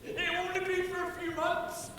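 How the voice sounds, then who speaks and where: A man's voice: very high-pitched, monotone, normal speed, noisy background. An actor playing a tender, devoted teenage farm boy in a stage drama, with earnest, projected delivery. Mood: urging, brave. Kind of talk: theatrical stage drama acting. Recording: echoing theatre hall, distant, music behind.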